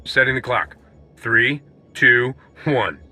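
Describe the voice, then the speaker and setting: An actor playing a confident, pragmatic rebel commander in a video game, decisive with a wry streak. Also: male voice, mid-range voice, slow, sharp tone, husky, soft background music, heavily acted.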